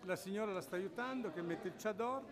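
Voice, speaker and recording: A man with a fairly high voice talks casually to his camera while out on a trip. He chats with locals and slowly repeats words back to them. A busy crowd hums all around him outdoors. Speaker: travel vlogger. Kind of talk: casual travel vlog commentary. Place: outdoor bazaar and mosque courtyard.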